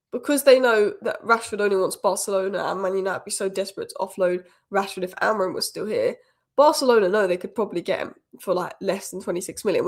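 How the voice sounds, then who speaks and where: A woman rattles through football news at a brisk clip, her voice a little croaky from a cold. She gives strong fan opinions in quick, decisive bursts, at a middle pitch. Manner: opinionated, rapid-fire fan commentary. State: has a cold, slightly croaky. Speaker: football channel host. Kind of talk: fast solo news roundup.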